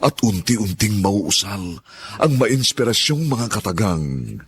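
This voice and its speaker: A man's voice: on the low side, big pitch swings, takes it slowly. Lofty male radio drama announcer. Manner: lofty, sweeping delivery. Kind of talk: dramatic scripted narration.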